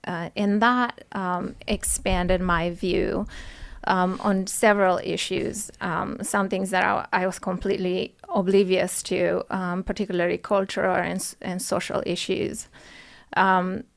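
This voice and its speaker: Soft-spoken woman, gravelly edge. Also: on the low side, little pitch movement, measured pace. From Romania. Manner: soft-spoken, many ums, hesitant. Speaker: podcast guest, nurse. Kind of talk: interview answers. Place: studio.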